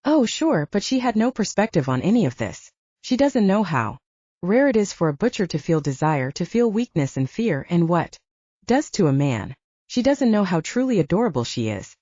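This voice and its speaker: A woman's voice: fairly low pitch, big pitch swings, normal speed, dark tone, dry recording. Woman reading a blunt mocking male werewolf. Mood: teasing. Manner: mocking, frank. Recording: dull dry lo-fi audio.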